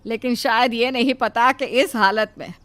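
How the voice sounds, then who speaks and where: A woman plays a cautious, protective mother-in-law from a cartoon moral story. Her voice sits fairly high, and she fusses and chides in a concerned, nagging way. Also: moderate pace, fairly bright tone, close to an everyday voice. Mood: worried, chiding. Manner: scolding, exclaiming, fussing.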